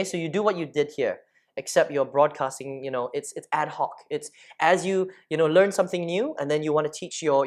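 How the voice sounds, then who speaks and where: A man's voice: fairly high pitch, a little mellow. A man in his thirties from Malaysia, teaching online marketing over a screen share in a chatty way, often checking in with the listener. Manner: chatty, keeps checking in with "okay?". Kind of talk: casual screen-share tutorial. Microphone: lapel mic.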